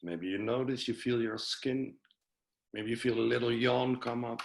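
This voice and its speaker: A man with a Dutch accent, a breathwork and cold exposure coach, speaking on a video call webinar in a friendly, self-mocking way and guiding listeners through slow breaths. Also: mid-range voice, steady tone, noisy background. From Netherlands. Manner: friendly, laughs at himself, gives slow breathing cues. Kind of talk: guided breathing instruction in a webinar.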